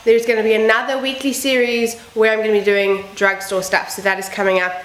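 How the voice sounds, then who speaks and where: A woman vlogger talking casually through a makeup tutorial, a little croaky and sniffly from a cold. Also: on the high side, little pitch movement, brisk, a bit bright, roomy sound, poor audio. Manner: chatty, upbeat, self-deprecating asides. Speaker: beauty vlogger. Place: home room with building noise outside.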